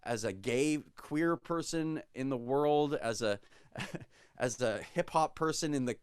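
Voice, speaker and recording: A man's voice: medium pitch, smooth, no echo, studio quality. A man in his forties from Quebec, a musician guest giving long, reflective answers that ramble and correct themselves mid-thought on a video call. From Quebec, Canada. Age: 40s. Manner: rambling, self-correcting, halting.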